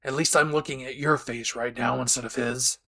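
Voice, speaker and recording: A man playing a gruff, blunt, short-tempered adult male hero in an anime audio roleplay, sarcastic and menacing. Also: medium pitch, steady tone, normal speed, bright, forceful tone. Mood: weary, serious.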